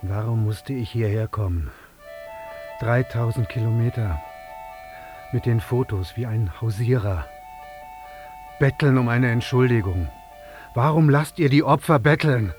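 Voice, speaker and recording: A man's voice: medium pitch, a little slow, long pauses, music in the background, close to an everyday voice. Actor as bitter, grieving father demanding answers. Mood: bitter accusation. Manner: accusing, insistent, wounded. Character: bereaved foreign father, bitter, demanding an apology. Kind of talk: radio drama acting.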